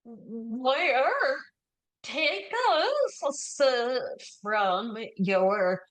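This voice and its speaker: A woman hosting a podcast interview, asking her questions in a very slow, word-by-word way. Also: a little high, animated, rough recording. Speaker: podcast host.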